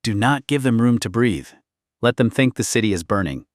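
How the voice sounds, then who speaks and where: A man's voice: medium pitch, lively intonation, brisk, no room sound, clean studio sound, natural voice. Man voicing a grim, defiant rebel warrior. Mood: exultant, commanding. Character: fierce, defiant male rebel fighter in battle.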